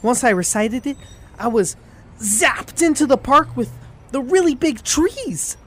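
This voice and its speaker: Casting: woman as eager young cartoon boy, earnest and plucky, highish voice, very lively intonation. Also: unhurried, breathy edge, faint music behind, full character voice. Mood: confused, earnest. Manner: excitable, earnest. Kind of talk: cartoon audio drama acting.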